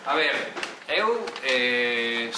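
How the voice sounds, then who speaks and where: A man with a Galician accent mixed with Buenos Aires speech chats casually. His voice is mid-pitched, heard in a strongly reverberant space with clear background noise. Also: big pitch swings, very slow. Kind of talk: casual chat. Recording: very echoey, noisy room.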